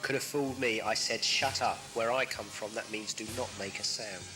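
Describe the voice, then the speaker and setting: Menacing male prison guard, played by an actor: lowish, curt, threatening, sci-fi audio drama. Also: steady tone, moderate pace, close to an everyday voice. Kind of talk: amateur audio drama acting. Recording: music behind dialogue, home-made cassette drama.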